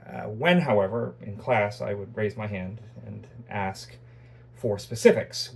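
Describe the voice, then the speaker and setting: A man delivering a Bible lecture in a husky, slightly breathy voice at a middle pitch. He talks conversationally, often restarting sentences and tossing in joking asides about himself. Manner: conversational, self-deprecating asides, frequent restarts and fillers. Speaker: Bible teacher.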